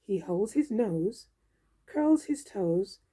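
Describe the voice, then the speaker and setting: A woman reading a storybook aloud for young children in an expressive, theatrical, drawn-out way. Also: mid-range voice, husky. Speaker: storybook reader.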